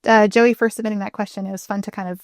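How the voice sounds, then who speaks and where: Female podcast co-host, mid-pitched, chatty explanatory delivery, recorded close and dry. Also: fairly even intonation, rapid-fire, dry recording. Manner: conversational, explanatory. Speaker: historian. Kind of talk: casual podcast discussion.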